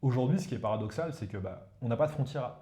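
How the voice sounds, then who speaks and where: A man's voice: mid-range voice, animated, quick pace, mellow. A man from India, a Hindi speaker, explaining things as a guest expert in a casual interview and tagging his sentences with "you see". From India, Ganges plain. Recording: roomy echo.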